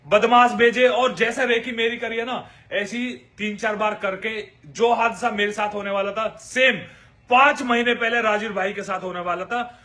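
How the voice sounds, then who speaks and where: A man's voice: high voice, bright, forceful tone. A man giving informal, accusatory commentary to viewers in crude slang. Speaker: commentator on an online feud.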